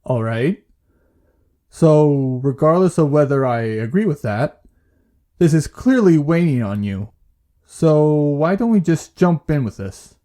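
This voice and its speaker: An actor as a male therapist with a dark, relaxed voice set a little high for a man. He is calm and patient, probing gently in measured questions. The delivery is that of a naturalistic audio play. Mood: patient, curious. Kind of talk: naturalistic audio drama dialogue.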